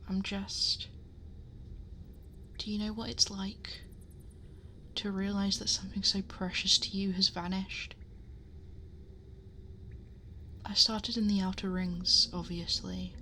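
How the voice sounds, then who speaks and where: A woman playing a protective, possessive dragon girl in an ASMR roleplay, speaking softly and breathily, often in whispers, in an intimate, gently scolding and fussing way. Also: medium pitch, slight background noise. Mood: anxious, hurt. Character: possessive, worried, protective female dragon girl guarding her hoard. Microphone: close binaural microphone.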